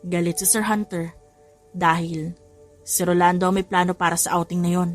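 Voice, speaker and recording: A woman's voice: on the low side, flat delivery, moderate pace. A woman giving a scripted, dramatic narration of a story as an audiobook narrator. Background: background music.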